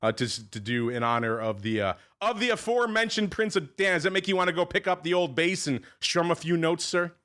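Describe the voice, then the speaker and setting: A man hosting a podcast, chatting casually and joking around with a deep voice. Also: expressive, brisk, hardly any pauses, a bit bright. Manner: jokey, rambling. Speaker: podcast host. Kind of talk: casual chat.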